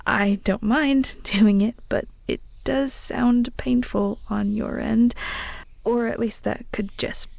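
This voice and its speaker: A young woman acting in an audio roleplay as an awkward, fond best friend with a hidden crush, speaking haltingly and bashfully with giggly hesitations. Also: on the high side, fairly even intonation, vocal fry, a little mellow, no echo, full character voice. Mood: earnest, shy.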